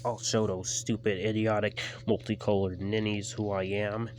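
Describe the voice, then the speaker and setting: A man's voice: on the low side, flat delivery, moderate pace, a little mellow, a little hiss or hum, close to an everyday voice. A man voicing a rude, stubborn male engine in a homemade story, talking in a sneering, insulting way.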